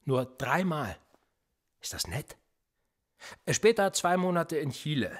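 A sly radio-drama cop questioning a suspect, husky and insinuating. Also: male voice, fairly high pitch, big pitch swings, slow, heavily acted. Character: menacing male police interrogator, probing and mocking. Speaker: police officer. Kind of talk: radio play acting.

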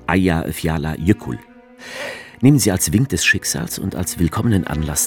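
A sardonic male writer telling his own journey in a radio play, his voice sitting very deep and gravelly. He speaks with a lively, rising and falling melody, and a music score runs underneath. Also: full character voice. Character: male writer travelling to Tripoli, wry and sardonic. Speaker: narrator as author character. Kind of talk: radio drama narration.

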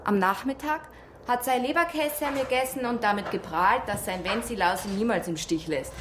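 An actress playing a chatty small-town bakery shopkeeper in a radio drama, folksy and gossipy, with a Bavarian accent. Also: female voice, a little high, moderate pace, lightly acted. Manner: folksy, chatty, gently disapproving. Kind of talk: naturalistic radio drama dialogue. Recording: clear ambient noise behind dialogue.

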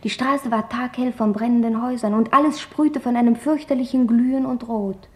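An actress voicing an earnest, thoughtful young girl who recounts wartime fear in a deliberate radio play reading. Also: on the high side, fairly even intonation, a little mellow, natural voice. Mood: anxious, urgent. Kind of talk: dramatic literary reading in German radio play.

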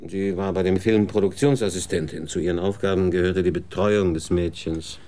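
A man's voice: low-pitched, steady tone, normal speed, warm tone, lightly acted. An actor playing a world-weary male TV executive in a radio drama, blunt and sardonic.

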